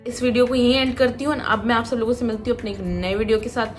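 Chatty young woman vlogger, slightly high voice. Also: fairly even intonation, quick pace, soft background music. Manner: chatty, mixes English into Hindi, frequent fillers. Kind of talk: casual vlog narration. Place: indoor room with curtain backdrop.

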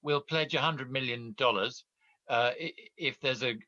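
Bright-voiced man hosting a virtual forum. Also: medium pitch, moderate pace, no room sound, lo-fi sound. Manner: polite, hesitant with many fillers. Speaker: editor and interviewer, host. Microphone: video call.

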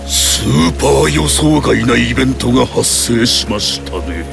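An actor voicing a dutiful, flustered army sergeant with a gravelly edge and polite manner in a Japanese game. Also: male voice, mid-range voice, lively intonation, a little slow. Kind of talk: Japanese anime fighting game acting. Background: background music.

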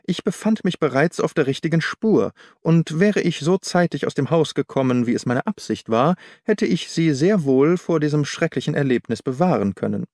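Guy narrating as a self-assured gentleman detective, precise, calm explaining, audiobook style, very dry sound. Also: on the high side, moderate pace, hardly any pauses, no echo. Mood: composed, explanatory. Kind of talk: audiobook character dialogue.

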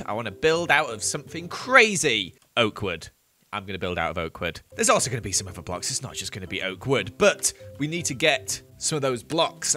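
A young man commentates his gameplay in a chatty, jokey way, breaking into excited bursts. His voice is bright and swings widely in pitch. Faint music plays under the voice-over. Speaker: gaming youtuber. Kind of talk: playthrough commentary.

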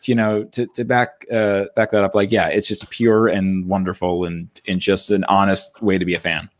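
A man's voice: low-pitched, flat delivery, normal speed, dry recording, clean studio sound. A man on a livestream panel, chatting informally and acting out imagined remarks as he goes. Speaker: panelist on a group stream. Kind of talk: casual chat on a livestream. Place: indoor home setup on a group video call.

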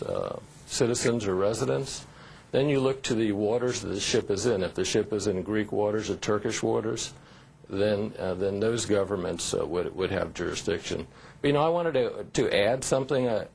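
A man's voice: on the low side, vocal fry, darkish tone, breathy edge. A man in his fifties explaining things as a lawyer guest on a live television news interview. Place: remote TV studio.

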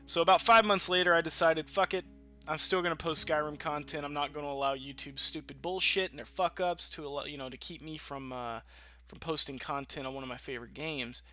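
A man with a fairly high, bright voice chats through a let's-play. He rambles informally and swears freely. Soft game music plays behind him. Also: steady tone, brisk. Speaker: gaming channel host. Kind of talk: casual let's-play commentary.

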